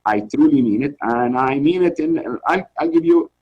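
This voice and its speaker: Middle-aged man from Tunisia, husky voice, earnest lecture, video call. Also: a little high, expressive, moderate pace, a little mellow, highs cut off. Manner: earnest, encouraging, many restarts. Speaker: journal editor giving a webinar talk. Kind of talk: informal lecture.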